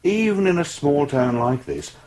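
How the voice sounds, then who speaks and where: An actor playing a hesitant, placating English police sergeant who stammers a little in a radio drama. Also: male voice, on the high side, expressive, moderate pace, darkish tone, a little hiss or hum, lightly acted. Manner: stammering, hesitant, patiently reassuring.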